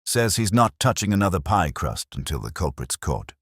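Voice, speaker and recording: Man as grumbling male sergeant, low-pitched, blunt, gloomy, mystery audiobook. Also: very animated, moderate pace, husky, dry recording, clean studio sound, exaggerated voice. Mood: grimly satisfied.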